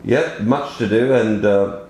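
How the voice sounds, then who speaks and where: A man's voice: fairly low pitch, slow, a little mellow. A man in his fifties from Wales, an actor chatting casually in an interview in a rambling, jokey way. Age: 50s. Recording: echoey room with faint noise.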